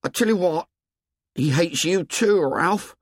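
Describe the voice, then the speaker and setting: A man's voice: high-pitched, slow pace, no room sound, clean studio sound, pitched above the performer's own voice. A man voicing an anxious, sensible schoolboy in an audiobook, pleading and insistent in colloquial grammar. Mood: anxious, earnest.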